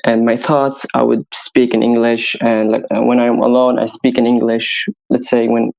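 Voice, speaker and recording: A man's voice: mid-range voice, little pitch movement, moderate pace, gravelly, a little mellow, low-quality recording. Hesitant young man. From Syria. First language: Arabic. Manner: hesitant, restarts and searches for words. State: tired from staying up all night. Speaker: guest music producer. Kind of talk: casual interview answers.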